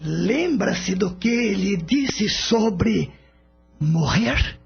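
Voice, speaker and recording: A wise, patient spirit mentor played by a man in a radio drama. The voice is high for a man and swoops expressively, with a husky grain. The recording is a bit dull. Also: animated, extremely slow, heavily acted. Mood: patient, reverent. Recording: dull lo-fi audio with background music.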